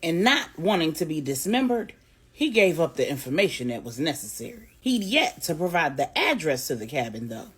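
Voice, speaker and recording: Husky, expressive woman storyteller. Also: low voice, moderate pace. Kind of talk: dramatic scripted story narration for adults.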